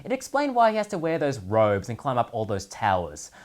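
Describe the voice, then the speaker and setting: A man's voice: medium pitch, huge pitch swings, fairly quick. A man presenting scripted comedic commentary as a show host, with a sardonic, mock-serious delivery of punchlines. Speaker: host of a gaming news segment.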